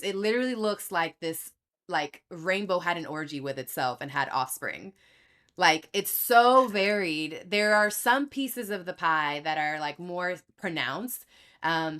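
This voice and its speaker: A young Dominican-born woman, a podcast guest, telling her story in a candid, animated way and acting out the voices she quotes. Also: on the low side. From Dominican Republic. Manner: animated, acts out quoted voices, laughs easily.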